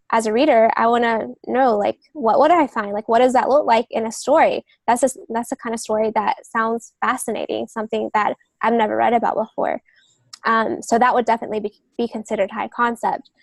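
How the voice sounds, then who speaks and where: A woman's voice: on the high side, vocal fry, no room sound. A woman speaking as a guest on a writing podcast, giving conversational advice in an earnest, explanatory way. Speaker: guest novelist and literary agent.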